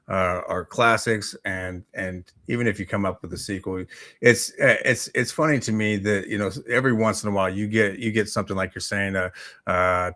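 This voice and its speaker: A man's voice: fairly low pitch, fairly bright tone. A man chatting casually as a talk show host, rambling and hesitant, often repeating his words. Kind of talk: casual chat.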